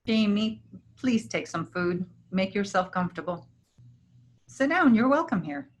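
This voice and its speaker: A woman playing a kind, principled healer in a travelling troupe, gentle and reassuring, in a staged play reading. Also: mid-range voice, lively intonation, measured pace, natural voice. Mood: welcoming.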